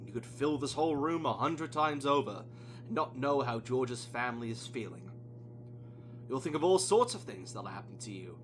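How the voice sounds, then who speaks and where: A stern psychologist in an audio play, voiced by a man, speaking in cutting, deliberate reproach. The voice has a bright edge and taunts coldly. There is faint noise and hum on the recording. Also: medium pitch. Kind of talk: amateur audio play acting.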